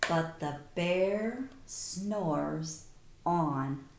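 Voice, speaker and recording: A woman reading a picture book aloud to children like a teacher, expressive and deliberate, pausing to explain. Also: on the low side, background noise. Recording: roomy echo.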